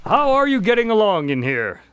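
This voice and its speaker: An actor plays a polite, genial male doctor telling stories and chatting in a radio drama. His voice sits fairly high for a man and swings expressively. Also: sing-song, normal speed, a bit bright, clear, resonant tone, no echo. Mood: curious, helpful. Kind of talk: audio drama dialogue and narration.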